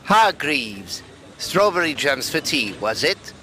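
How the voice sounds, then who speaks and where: An actor playing a suave, eager Edwardian occult detective in an audio drama, courteous and teasing. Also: male voice, fairly high pitch, big pitch swings, slow pace, sharp tone, exaggerated voice. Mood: teasing, breezy.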